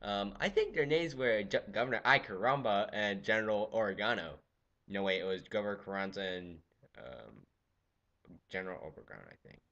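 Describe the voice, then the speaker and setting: Animated goofy teen lecturer. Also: male voice, on the low side, normal speed, highs cut off. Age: teens. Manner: goofy, animated, jumps between loud exclamations and mutters. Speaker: student presenter. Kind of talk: comedic lecture with skits.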